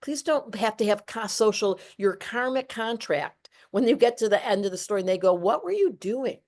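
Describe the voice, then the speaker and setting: Playful, animated woman podcast host. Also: on the high side, normal speed. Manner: animated, playful, preachy, acts out voices in her stories. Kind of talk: casual podcast conversation.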